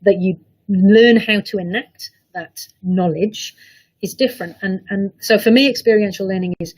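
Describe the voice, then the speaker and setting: Husky-voiced British woman. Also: mid-range voice, normal speed, a little mellow, poor audio. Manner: thoughtful, earnest, explains at length with small restarts. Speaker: university director of student employability. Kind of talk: panel interview answers over video call.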